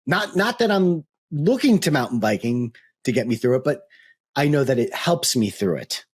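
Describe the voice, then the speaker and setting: A man co-hosting a relaxed podcast, agreeable and quick to chime in with short remarks. His voice is a little husky, and his pitch moves around with a lively lilt. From Delaware County, Pennsylvania, USA. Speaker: podcast co-host. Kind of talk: casual chat.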